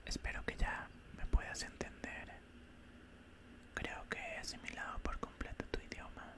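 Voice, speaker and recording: A man voicing a cold, condescending alien scientist in a whispered ASMR roleplay, speaking in a calm, clinical, breathy whisper close to the microphone. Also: lightly acted. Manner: slow, calm, clinical, faintly menacing. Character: cold, condescending male alien scientist examining a human specimen. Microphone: close-up studio microphone.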